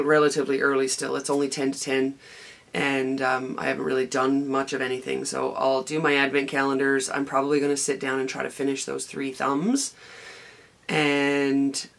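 A woman in her forties with a hoarse, raspy voice, chatting casually in her home vlog as a knitting podcaster, rambling with many ums and restarts. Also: very deep voice. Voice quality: gravelly. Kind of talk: casual daily vlog chat. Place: home craft room with shelves, indoors.

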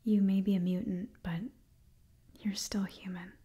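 A woman in her twenties playing a caring, gently scolding doctor in a whispered ASMR roleplay, soft and breathy. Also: mid-range voice, level tone, unhurried. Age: 20s. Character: caring, chiding young female doctor to mutant heroes. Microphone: close microphone.

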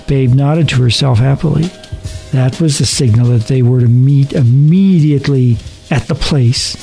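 A man's voice: fairly high pitch, a little mellow. Male horror storyteller over music. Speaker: storyteller, narrator. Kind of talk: dramatic scripted narration of a horror story. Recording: narration over background music.

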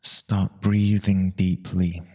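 A man leading a guided meditation, giving calm spoken instructions in short, unhurried phrases with long pauses. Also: sits low.

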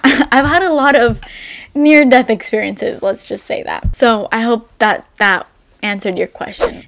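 A woman in her twenties, raised in Albania, chatting to camera in a relaxed Q&A and filling her talk with "like". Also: on the high side. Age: 20s. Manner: chatty, rambling, full of "like" fillers. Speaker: vlogger. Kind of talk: casual Q&A chat to camera. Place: indoor room with brick wall and shelves.

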